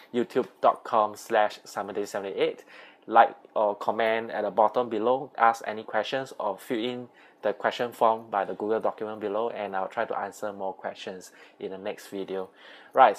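Singaporean man with a low voice answering viewer questions. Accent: Singaporean English. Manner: plain and unhurried, with frequent uh and um fillers. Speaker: video host. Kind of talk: casual Q&A vlog. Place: small room at home with a plain wall. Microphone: earphone mic.

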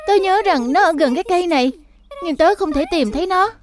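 High-pitched little girl fox. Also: expressive, fairly quick. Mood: eager. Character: small girl fox, eager crystal hunter, easily discouraged. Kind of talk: children's cartoon dub. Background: background music.

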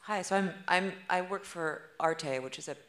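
A woman's voice: fairly low pitch, moderate pace, fairly bright tone. A woman in the audience asking the panel a question, casual and full of fillers. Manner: casual, lots of fillers like "you know".

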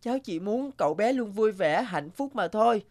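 A woman's voice: medium pitch, lively intonation, moderate pace, a little mellow, natural voice. A woman voicing an anxious, earnest little boy guardian angel in a cartoon, with a childlike, insistent manner. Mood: pleading, polite. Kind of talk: Vietnamese cartoon dubbing.

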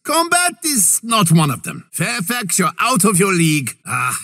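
An actor playing a confident, genial scientist hero in a video game, boastful yet courteous, with a theatrical delivery. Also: male voice, high voice, wildly swooping pitch, a little slow, pushed and bright, heavily acted. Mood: firm, courteous. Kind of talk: video game character voice lines.

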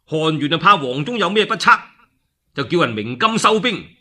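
A man's voice: a little high, big pitch swings, moderate pace, bright, forceful tone. A man with a Cantonese accent, a radio storyteller narrating a classical war tale theatrically, with exclamations and spoken sound effects.

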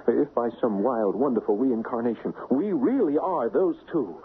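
An actor plays a young man deeply in love, earnest and ardent. The style is 1970s radio drama. The sound is narrow and phone-like with a faint noise. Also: expressive, normal speed, close to an everyday voice. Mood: urgent, firm.

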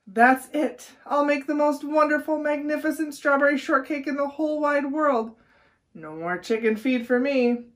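A woman's voice: sits high, normal speed, voice pushed up, natural voice. A woman voicing an eager, bossy rooster who dreams of cooking, in a storybook reading. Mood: excited, proud.

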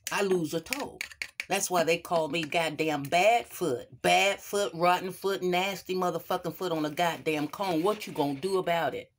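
A man's voice: fairly high pitch, a little hiss or hum, natural voice. A man doing a foul-mouthed braggart with a rotting foot. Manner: profane, boastful, yelling catchphrases. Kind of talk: livestream comedy skit.

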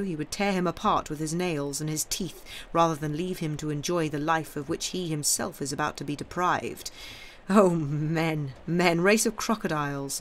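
A man's voice: on the high side, a bit bright, a little hiss or hum, close to an everyday voice. A man voicing a cold, worldly Count in an audiobook, declaiming with emphatic scorn for mankind.

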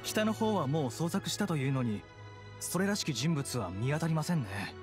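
A man's voice: a little high, big pitch swings, normal speed, over music. A man playing a serious, dutiful guard giving reports in an anime game. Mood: matter-of-fact. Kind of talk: Japanese anime game voice acting.

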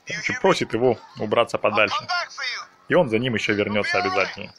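A man's voice: fairly low pitch, animated, fast, fairly bright tone, a little hiss or hum. A man hosting a gaming video, commenting casually on his own play in short phrases. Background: game music.

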